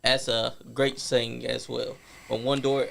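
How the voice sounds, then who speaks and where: Gravelly flat-voiced co-host. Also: male voice, medium pitch, unhurried. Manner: affirming, flat, interjects agreement.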